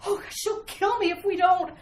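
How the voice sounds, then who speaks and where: A woman's voice: very high-pitched, steady tone, moderate pace. A woman playing a frightened, pleading wife in a melodramatic retro radio mystery. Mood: desperate pleading. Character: terrified wife fleeing a witch's ghost. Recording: roomy sound with faint noise.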